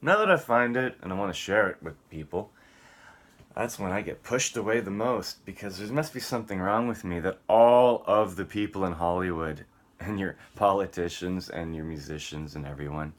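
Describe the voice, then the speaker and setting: A man's voice: deep voice, moderate pace. A man on a rambling solo vlog rant, profane and combative, swinging between muttering and outbursts. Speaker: vlogger.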